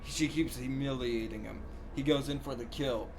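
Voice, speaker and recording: A man's voice: mid-range voice, steady tone, normal speed. A man doing casual, slangy live game-stream commentary with playful, joking asides. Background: video game music.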